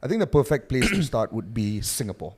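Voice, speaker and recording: A man's voice: medium pitch, sing-song, brisk, darkish tone, breathy edge. A man with a Malaysian accent hosting a casual sit-down interview in a chatty, encouraging way. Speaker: podcast host.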